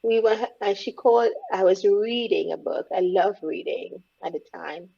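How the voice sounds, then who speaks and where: A woman's voice: medium pitch, moderate pace, vocal fry, warm tone, slightly rough. A woman, speaking reflectively and openly as an interview guest. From Caribbean, born in Grenada, raised in Trinidad. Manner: reflective, speaks openly about hard memories. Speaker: guest, empowerment coach. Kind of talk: candid interview conversation. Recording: dull lo-fi audio.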